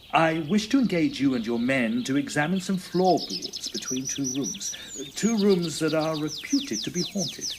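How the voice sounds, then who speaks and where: A man's voice: fairly high pitch, a little hiss or hum. A man playing a courteous, slightly flustered Edwardian house agent in an audio drama, speaking formally in an English accent. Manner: formal, fussily polite, theatrical. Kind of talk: audio drama acting with first-person narration.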